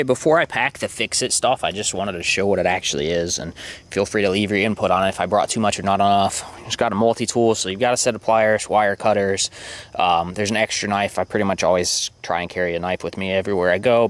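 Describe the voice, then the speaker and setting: A man's voice: lowish voice, fast talker, slight background noise. A young man talking casually and ramblingly as he shows his camping gear outdoors, with lots of fillers. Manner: relaxed and rambling, with many fillers. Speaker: motorcycle vlogger. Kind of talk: casual show-and-tell narration. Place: outdoors at a campsite.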